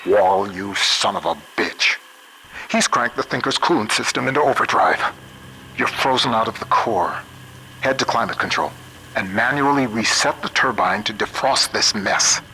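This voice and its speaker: A determined male scientist in a game, practical and focused. His voice is bright and forceful at a middling pitch, with faint noise behind it. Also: expressive, normal speed, low-quality recording. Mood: urgent. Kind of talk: naturalistic video game acting.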